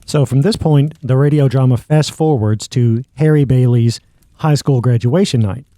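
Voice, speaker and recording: A man narrates as a podcast host, conversational though working from notes. His voice is mid-pitched and mellow, recorded dry and close. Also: moderate pace, no room sound.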